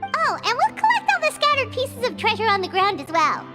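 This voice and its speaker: A woman voicing a small, excitable, childlike fairy companion in a video game, squeaky and talking about herself by name. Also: brisk, long reverb tail, over loud music, exaggerated voice. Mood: chirpy, decisive. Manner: exclaims, refers to herself by name. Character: small childlike fairy companion, excitable, food-loving, third-person talker.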